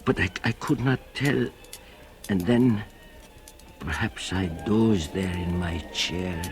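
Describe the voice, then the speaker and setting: An actor playing an old, gentle blind cobbler in a 1940s radio drama, speaking haltingly and earnestly with a soft foreign lilt. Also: male voice, lowish voice. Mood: hushed, brooding. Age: older. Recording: old radio broadcast with music behind.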